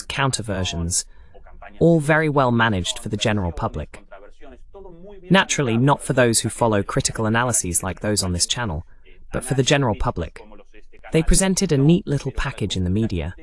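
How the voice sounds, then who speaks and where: A man's voice: medium pitch, very animated, normal speed, a bit bright. A man hosting a political commentary broadcast, talking in a sardonic, digressive way. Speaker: host of political analysis broadcast. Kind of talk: political commentary, English voice-over of a live talk.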